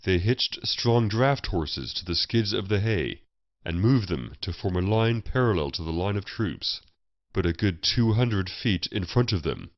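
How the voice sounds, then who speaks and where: A man's voice: low voice, lively intonation, unhurried. A man reading a historical fantasy story as a scripted audiobook narration. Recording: muffled, roomy voice recording.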